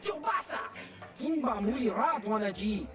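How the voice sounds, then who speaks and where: A woman's voice: fairly high pitch, lively intonation, normal speed, lightly acted. A woman playing an aggressive, barking alien street thug in a video game, talking in an invented alien tongue. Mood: hostile. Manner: barking, confrontational. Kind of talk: video game creature dialogue. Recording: music behind the voice.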